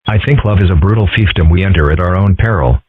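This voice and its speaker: Guy doing a deadpan thoughtful cartoon boy, lowish husky voice, phone-like sound. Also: fast, dry recording. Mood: musing. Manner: deadpan, formal wording. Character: calm, deadpan, philosophical eight-year-old cartoon boy. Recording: tinny, phone-like audio.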